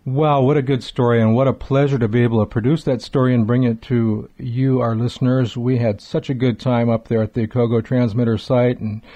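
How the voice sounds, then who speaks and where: A man co-hosting a radio show in a conversational, effusive, wordy way, with a mellow voice. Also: mid-range voice, little pitch movement, fairly quick, hardly any pauses, lo-fi sound.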